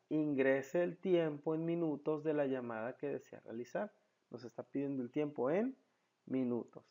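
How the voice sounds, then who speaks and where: A man's voice: fairly high pitch, dark tone, dull audio. A man giving a step-by-step screen tutorial as a programming instructor, asking questions and answering them himself in a didactic way.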